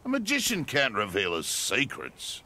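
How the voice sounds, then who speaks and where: An actor playing a cocky, sleazy Australian mercenary crime boss in a video game, taunting in thick slang over music. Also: male voice, medium pitch, huge pitch swings, normal speed, pushed and bright, slight background noise, full character voice. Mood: wheeling and dealing. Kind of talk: video game character dialogue.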